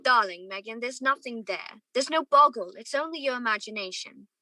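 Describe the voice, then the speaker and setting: A woman acting a conflicted young gentleman in love, who narrates his own story in a radio play. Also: a little high, expressive, moderate pace, dry recording, rough recording. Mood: tender, soothing. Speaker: student or guest actor in a radio play.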